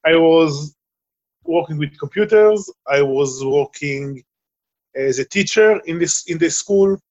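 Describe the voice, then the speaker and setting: A middle-aged Israeli man with a Hebrew-speaking background, speaking halting English with many fillers as he answers interview questions. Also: on the high side, darkish tone, dull audio. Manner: halting, searching for English words, frequent fillers. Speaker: guest. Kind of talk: remote interview conversation.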